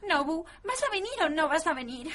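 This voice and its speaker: A woman's voice: sits high, animated, brisk, a bit bright. A woman playing a sweet, naive teenage schoolgirl in an improvised manga-parody radio comedy, whiny, timid and melodramatic. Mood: pleading.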